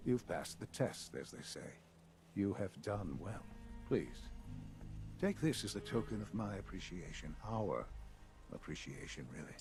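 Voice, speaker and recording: A man playing an elderly, coldly cerebral leader in a video game, speaking formally and deliberately with weighty pauses. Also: on the low side. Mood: formal, approving. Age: older. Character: elderly, measured, coldly cerebral male leader of secretive institute. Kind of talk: dramatic video game dialogue.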